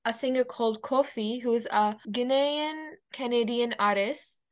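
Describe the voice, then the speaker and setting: A young woman from Pakistan whose first language is Urdu co-hosts a casual radio show. Her voice sits fairly high, and she chats informally, sprinkling in plenty of "like" as she goes. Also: measured pace. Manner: chatty, informal, frequent "like".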